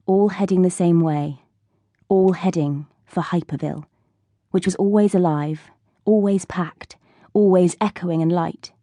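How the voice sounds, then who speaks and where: A woman's voice: fairly low pitch, mellow, no echo, clean studio sound. A woman reading scripted fiction as an audiobook narrator.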